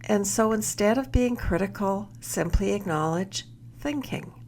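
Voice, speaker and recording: A woman guides a body scan meditation at a very slow, unhurried pace. Her voice sits at a medium pitch with noticeable creak, and she instructs gently, letting phrases fall away softly. A faint noise sits behind her. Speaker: mindfulness mentor leading a body scan.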